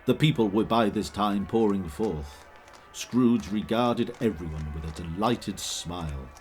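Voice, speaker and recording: A man giving measured, scripted dramatic narration as the storyteller of a Victorian Christmas tale. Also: fairly low pitch, soft background music, close to an everyday voice. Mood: measured, fond.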